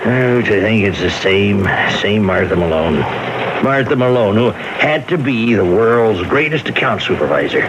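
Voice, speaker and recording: A pompous, effusive ad-agency man with a very low voice, on a noisy old radio broadcast. His delivery is theatrical and florid, with halting hesitations, in seventies radio-drama style. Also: expressive, dull audio. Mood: awed, boastful. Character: self-important, gushing male advertising executive. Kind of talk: 1970s radio drama acting.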